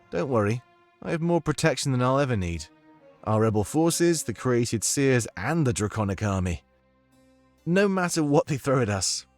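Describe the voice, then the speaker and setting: An actor playing an earnest young rebel leader who talks in an encouraging, expressive way, voiced for a visual novel game. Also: male voice, mid-range voice, no echo, close to an everyday voice.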